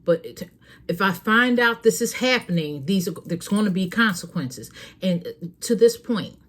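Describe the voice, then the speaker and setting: A woman's voice: mid-range voice, lively intonation, a little slow. A woman hosting a talk show, giving earnest, frank parenting advice straight to her listeners in a casual, preachy way. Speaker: host.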